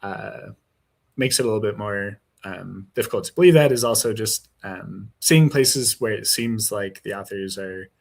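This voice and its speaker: A man calling into a live stream, talking hesitantly with many pauses and fillers. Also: on the low side, gravelly. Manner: hesitant, lots of fillers, tentative. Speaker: caller. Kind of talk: casual discussion.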